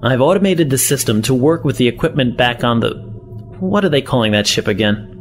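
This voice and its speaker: A man acting a villainous professor in an audio drama, in a mid-pitched male voice. He is condescending and impatient, with a mock-friendly menace. Music plays softly underneath. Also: animated, brisk, natural voice. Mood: brisk, scheming.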